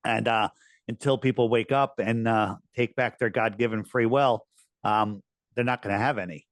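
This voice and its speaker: A man speaking as a podcast guest, earnest and emphatic, his voice worn and going hoarse. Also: steady tone, normal speed, dry recording. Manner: earnest, emphatic, rising pitch on key points. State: losing his voice. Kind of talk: conversational interview talk.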